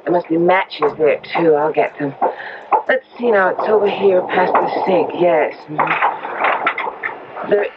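An old radio drama recording, muffled and noisy. a young American wife, sensible and strong-willed, earnest and firm, with no patience for superstition. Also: female voice, lowish voice, slow, pauses often. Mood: uneasy. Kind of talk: 1970s radio drama acting.

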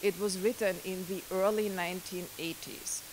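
A middle-aged woman, lecturing at a university into a handheld mic, pausing often with fillers and restarts. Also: medium pitch, steady tone, a little hiss or hum, poor audio. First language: German. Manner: hesitant, many fillers and restarts. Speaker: guest lecturer. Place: lecture hall.

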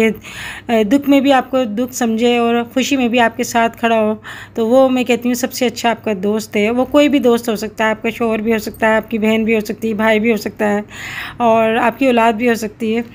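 A middle-aged woman vlogging at home, talking in an earnest, reflective way with many pauses and fillers. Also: a little high, fairly even intonation, a little mellow. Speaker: cooking vlogger. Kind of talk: casual vlog voice-over.

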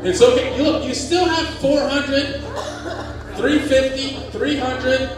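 A man hosting a game show on a cruise ship stage, animated and jokey as he calls out the amounts. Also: extremely high pitch, takes it slowly, noisy background. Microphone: handheld mic, far camera. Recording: echoey hall from far off, loud music.

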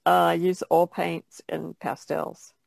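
A woman's voice: lowish voice, level tone, takes it slowly, creaky, dry recording. A woman painter chatting casually as a podcast guest, explaining loosely with trailing asides. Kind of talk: casual chat about painting.

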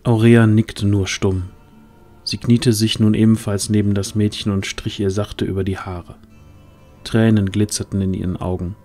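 Guy narrating a fantasy radio play, slightly low voice, soft music under it. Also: level tone, moderate pace. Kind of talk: scripted audio drama narration.

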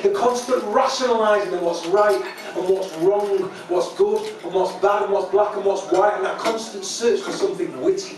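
A man in his forties with a Mancunian accent performs spoken-word poetry live on stage with a rhythmic, impassioned delivery. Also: high voice. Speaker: performance poet. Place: small dark jazz club stage. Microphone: handheld vocal mic on a stand, often off it. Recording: echoey live club PA, distant, audience noise. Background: audience.

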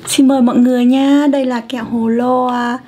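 A woman's voice: sits high, level tone, a little fast, mellow, a little hiss or hum. A young woman from Vietnam giving casual ASMR eating commentary as a mukbang host. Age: young adult. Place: small indoor setup with a plain backdrop.

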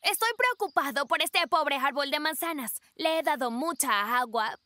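A woman plays a gentle, nature-loving bear cub in a children's cartoon. The voice is high and bright, and the cub speaks earnestly, pressing each point with soft insistence. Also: animated, moderate pace, dry recording. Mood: worried. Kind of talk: dubbed children's cartoon acting.

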